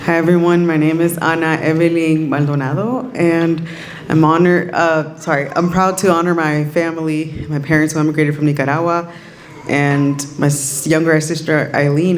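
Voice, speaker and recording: A young woman, a student, giving a short, halting, nervous dedication speech. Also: deep voice, flat delivery. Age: young adult. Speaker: student honoree.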